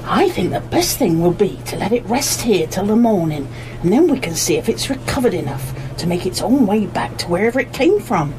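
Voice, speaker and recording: A woman playing a practical, caring householder in an amateur radio play, expressive and matter-of-fact. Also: medium pitch, brisk, natural voice. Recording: noisy live amateur radio broadcast recording.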